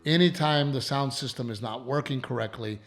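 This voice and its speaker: A man with a gravelly, worn-out voice hosts a casual podcast. He rambles, repeats himself and swears offhandedly. His voice sounds strained and tired. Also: mid-range voice, normal speed, soft background music. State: voice worn from allergies and shows. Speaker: comedian and podcast host.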